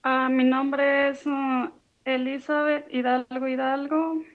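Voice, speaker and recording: A woman from Guatemala calling in by phone to give her personal testimony, speaking slowly and hesitantly. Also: high voice. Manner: slow, hesitant, flat. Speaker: caller giving testimony. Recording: dull lo-fi phone line.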